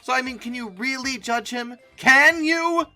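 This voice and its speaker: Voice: high voice, a little slow, bright, forceful tone, faint music behind. A comedic online host giving sarcastic reaction commentary with theatrical mock outrage. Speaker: YouTube host reacting to images.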